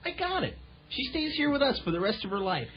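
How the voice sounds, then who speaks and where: An excitable young ninja turtle, easygoing and very animated. It sounds like an old children's audio drama, slightly dull, with music underneath. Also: high-pitched, a little fast. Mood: excited. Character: excitable, happy-go-lucky, pizza-loving young ninja turtle. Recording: dull lo-fi 1980s tape, music behind.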